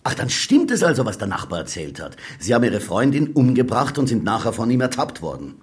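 An actor playing a skeptical, dry police inspector, curt and probing, in a radio drama. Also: male voice, medium pitch, huge pitch swings, a little fast, husky. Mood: accusing.

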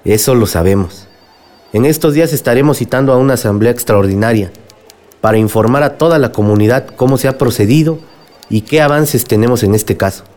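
An actor playing a measured, noncommittal village mayor in a radio drama. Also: male voice, medium pitch, a little mellow, close to an everyday voice. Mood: formal, guarded. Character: guarded, official village mayor.

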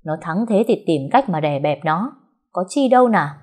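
A woman playing a scheming middle-aged mother in an audio drama, bossy and forceful in her scolding. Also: lowish voice, lively intonation, normal speed.

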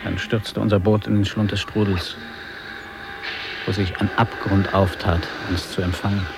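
Low-voiced weary male adventurer. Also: level tone, normal speed, vocal fry, background noise. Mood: ominous, awed. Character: weary male polar adventurer, reflective, modest. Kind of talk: literary radio drama. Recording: loud music behind the voice. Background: loud music.

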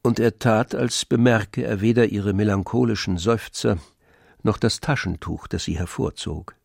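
A man's voice: on the low side, moderate pace, vocal fry, darkish tone, no echo, clean studio sound. A man narrating a literary radio play from a script. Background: faint instrumental music.

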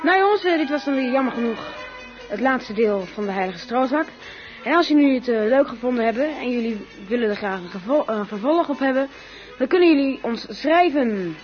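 A woman presenting a radio show in a chatty, informal style for young listeners. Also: a little high. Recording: dull lo-fi radio recording. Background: music.

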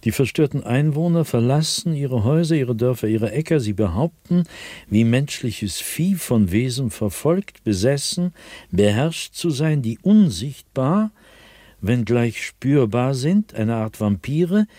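An actor playing a haunted, fearful diarist sliding into madness in a dramatic, intense radio drama monologue, at times breathy and whispering. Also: male voice, mid-range voice, animated, measured pace, warm tone, no echo. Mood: grave, urgent.

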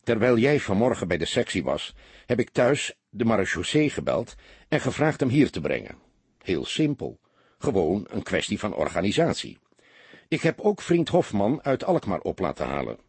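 A man voicing a seasoned, laconic police inspector who lectures his young partner calmly and a little gruffly, with a husky voice. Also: on the low side, moderate pace, no room sound, full character voice. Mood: composed.

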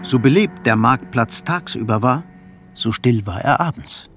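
A man giving measured, scripted literary narration for a radio drama. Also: medium pitch, lively intonation. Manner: measured, storytelling. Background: faint music.

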